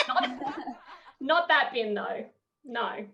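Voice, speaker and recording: A thirty-year-old woman with an Australian accent performing wry, expressive stand-up over a headset on a video call, in muffled, noisy audio. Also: on the high side, extremely slow, creaky. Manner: expressive, wry, chuckling between phrases. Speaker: stand-up comedian. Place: small room at home.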